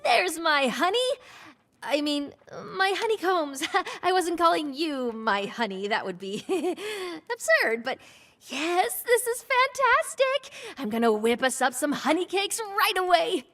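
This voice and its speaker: A woman's voice: very high voice, very animated, measured pace, a bit bright, full character voice. A woman playing a cheerful, easily flustered villager in a video game, chatty and folksy. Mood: flustered delight. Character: cheerful flustered female villager, warm and playful. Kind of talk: video game character acting.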